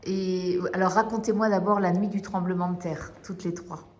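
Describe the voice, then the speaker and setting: A woman interviewing, friendly and prompting with quick questions. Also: fairly low pitch, fairly even intonation, darkish tone, echoey room.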